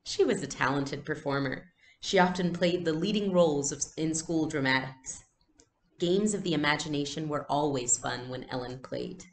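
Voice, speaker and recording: A woman reading a storybook aloud as a teacher, in an expressive style with explanations. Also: deep voice, normal speed, roomy sound, slight background noise.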